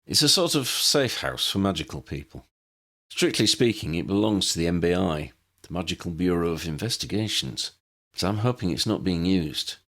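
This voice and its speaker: Man acting an earnest, low-voiced wizard leader. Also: big pitch swings, moderate pace, bright, forceful tone, heavily acted. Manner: explanatory, serious. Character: earnest male wizard team leader, careful and measured.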